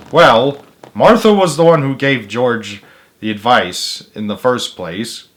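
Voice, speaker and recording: A man reading a story aloud expressively, dropping in muttered asides of his own. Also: medium pitch. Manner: expressive, with emphatic character lines and muttered asides.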